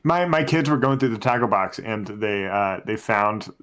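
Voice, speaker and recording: A man's voice: lowish voice, expressive, normal speed, fairly bright tone. A man hosting a podcast in casual, informal chat with a joking manner. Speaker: podcast host and game master.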